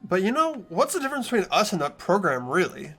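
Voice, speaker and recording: Man as an earnest teenage boy, bright and lively. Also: high voice, fast talker, breathy edge, soft background music, heavily acted. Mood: earnest, troubled. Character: earnest, soft-hearted teenage boy, the narrating hero. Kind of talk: amateur fan voice acting, narration and dialogue.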